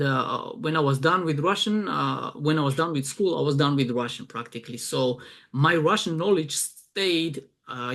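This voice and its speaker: A man's voice: mid-range voice, vocal fry, a bit bright. A young man from Georgia with a Georgian accent, talking casually in an interview, hesitant with many fillers. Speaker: guest, promotions director.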